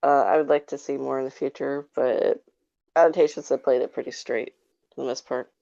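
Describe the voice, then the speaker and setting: A woman's voice: extremely low pitch, steady tone, fairly quick, vocal fry, mellow, no room sound. A woman chatting casually in a podcast discussion, speaking in a tentative, hedging way. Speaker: podcast panelist. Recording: slightly dull, lo-fi audio.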